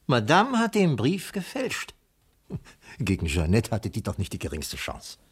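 Grumbly skeptical detective in a radio crime play, really hoarse rough voice, pitch swings all over, sardonic. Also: male voice, huge pitch swings, measured pace, heavily acted. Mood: confident, dramatic. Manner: sardonic, impatient. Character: skeptical, money-minded male private detective, exasperated and sardonic. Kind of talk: German radio crime drama acting.

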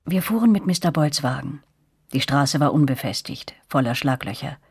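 An actress playing a woman narrator who looks back, telling the story of a German radio play in a sober, measured, literary way. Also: very deep voice, animated, a little mellow, slightly rough, exaggerated voice. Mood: sober, reflective.

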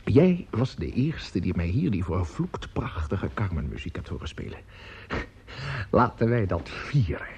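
Blustery creaky tippling father, wildly swinging pitch. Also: sing-song, a little mellow, full character voice. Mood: jovial, excited. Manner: rambling, halting, prone to mutter and swing up. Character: tippling, blustery father and music teacher, middle-aged or older. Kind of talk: radio play acting. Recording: dull, noisy radio play recording.

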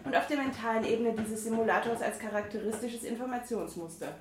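Woman, somewhat high voice, regional German dialect, reads text aloud haltingly, roomy echo with faint hiss. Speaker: podcast co-host reading from a book. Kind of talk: casual chat, reading aloud. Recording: echoey room with faint noise.

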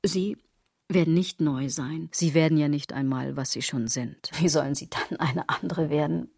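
An actress playing a sardonic, brooding woman in a radio drama monologue, with a husky, theatrical delivery that slips into breathy tones. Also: low voice, normal speed, darkish tone, no echo, clean studio sound, exaggerated voice. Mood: brooding, ironic. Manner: ironic, theatrical, shifting into breathy whispers. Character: sardonic, brooding woman philosophising bitterly about fashion.

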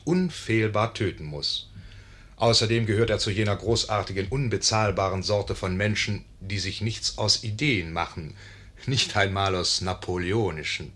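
Low, wry radio play narrator. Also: male voice, a bit bright, breathy edge. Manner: wry, ironic, deliberate. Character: wry narrator confiding in the audience.

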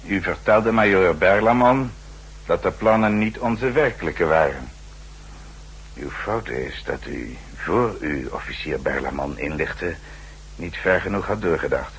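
Menacing butler-spy for a radio play: very low, measured, deferential, on a faintly humming old recording. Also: male voice, animated, a bit bright, a little hiss or hum, full character voice, rough recording. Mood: polite, menacing. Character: formal male manservant, polite and quietly menacing secret agent. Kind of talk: 1960s Dutch radio drama acting.

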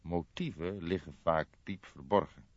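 Old male detective, played by a narrator, lowish, calm, wry, deliberate, audiobook crime story, dry slightly dull recording. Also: no echo. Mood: measured, cautious. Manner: careful, deliberate.

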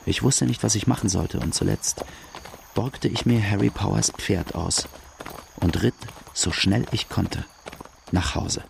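An actor playing a brooding outlaw who gravely narrates his own life story in a radio drama. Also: on the low side, unhurried, background noise. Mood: grave, haunted. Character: brooding young male Irish-Australian outlaw telling his life.

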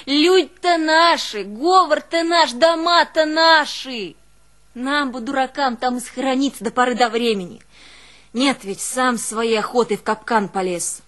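Actress as a slow-spoken, grieving village mother. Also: medium pitch, lightly acted. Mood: anguished. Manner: plaintive, colloquial, unhurried, swinging between hushed and keening. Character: grieving, long-suffering Russian village mother recalling hardship. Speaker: stage actress in a one-woman audio play. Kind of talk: dramatic monologue, folk village storytelling.

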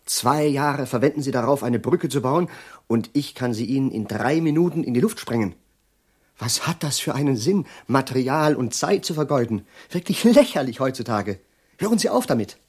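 Agitated eccentric chemist, slightly husky. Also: male voice, medium pitch, expressive, normal speed, a little mellow. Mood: scornful. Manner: abrupt, exclamatory, ironic, swinging into rants. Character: eccentric male chemist, agitated, brooding, prophesying war. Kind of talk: German radio play acting.

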